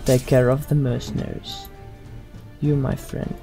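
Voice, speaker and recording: A man's voice: mid-range voice, little pitch movement, a little slow, vocal fry. A man hosting a let's play, commenting in character and giving orders to a party over game music. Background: video game music.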